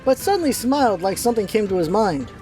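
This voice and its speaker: A woman's voice: medium pitch, sing-song, fast, warm tone, soft background music. A woman reading scripted storybook narration as the narrator of a radio play.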